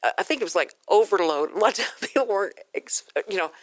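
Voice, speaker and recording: A woman's voice: medium pitch, expressive, quick pace. A woman with an American accent hosting a chatty radio interview, rambling informally and acting out quotes as she tells stories. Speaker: radio show host.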